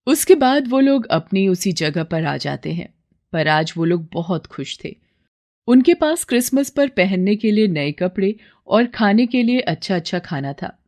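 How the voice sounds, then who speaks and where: A woman's voice: medium pitch, big pitch swings, measured pace, a little mellow. Woman narrating a children's moral story.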